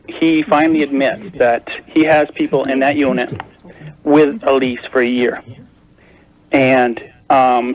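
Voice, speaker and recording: A man calling a radio show, explaining his problem in a careful, wordy, hesitant conversational way. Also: medium pitch. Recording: dull lo-fi call on radio tape.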